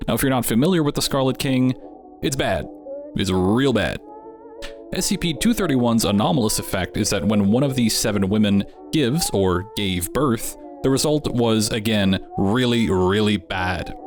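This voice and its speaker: A man's voice: lowish voice, animated, normal speed. Guy narrating creepy lore, dry joking tone, music playing behind. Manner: wry, conversational storytelling. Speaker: host. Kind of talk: scripted narration.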